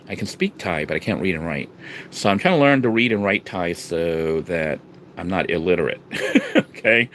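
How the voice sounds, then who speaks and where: A man from the United States with a fairly low voice talks straight to his vlog audience in a loose, rambling way, restarting sentences and padding them with fillers. His delivery drops to quiet murmurs, then jumps into loud, emphatic bursts. A faint hiss sits under the voice. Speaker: vlogger. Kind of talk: casual vlog talk to camera.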